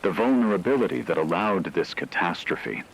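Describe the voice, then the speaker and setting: A man playing a grave radio announcer, reading a formal scripted disaster briefing in a measured, solemn, technical manner. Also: low-pitched, lively intonation, creaky, a little hiss or hum, rough recording. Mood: measured, sober. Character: grave male radio announcer delivering disaster status reports. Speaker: broadcast announcer.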